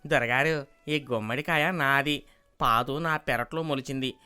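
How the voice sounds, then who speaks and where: A man playing a quarrelsome village farmer pleading his claim in an animated storybook tale. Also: mid-range voice, normal speed, smooth, close to an everyday voice. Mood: insistent.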